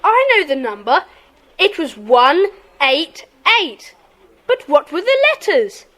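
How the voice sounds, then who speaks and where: High, lively, earnest schoolboy. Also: female voice, slow pace, heavily acted. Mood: earnest, eager. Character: earnest, proud schoolboy leader of secret society. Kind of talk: audiobook radio-play dramatisation.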